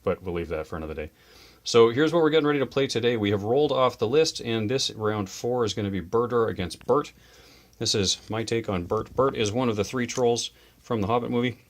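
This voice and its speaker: A man hosting a tabletop wargame show, chatting casually and enthusiastically about models, dice and rules. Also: mid-range voice. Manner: chatty, enthusiastic, quick asides. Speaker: host of a tabletop wargame channel.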